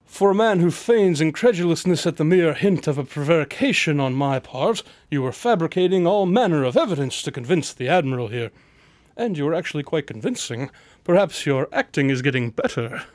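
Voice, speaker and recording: A man's voice: on the high side, animated, fairly quick, no echo, close to an everyday voice. A man playing a confident, articulate detective in a radio drama, formal, precise and coolly superior. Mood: wry.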